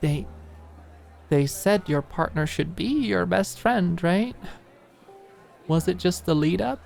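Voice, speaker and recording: A high-strung young woman in an audio romance, played by a female narrator, halting and awkward, covering hurt with flippant cheer. Music runs beneath the words. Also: animated, vocal fry, a little mellow, some chatter behind, exaggerated voice. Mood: nervous, hesitant.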